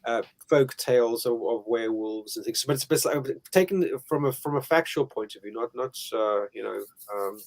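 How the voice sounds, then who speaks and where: A man co-hosting a casual interview, explaining at length with hesitant pauses and restarts. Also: medium pitch.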